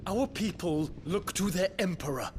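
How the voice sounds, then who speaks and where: An actor playing a noble young prince, speaking formally with measured, grave delivery in a video game cutscene. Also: male voice, high-pitched, expressive. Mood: accusing. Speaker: prince confronting his father. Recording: game audio with clear background noise.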